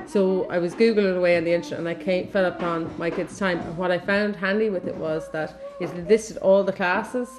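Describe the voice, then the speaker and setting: A woman's voice: fairly low pitch, a little mellow. A woman, a parent, chatting plainly about finding classes for her child. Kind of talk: interview. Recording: noisy location sound.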